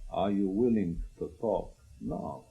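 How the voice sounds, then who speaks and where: A man acting in a radio drama as a wary, probing Soviet KGB major, speaking formally and deliberately in clipped phrases. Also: low-pitched, vocal fry, narrow, tinny audio, full character voice.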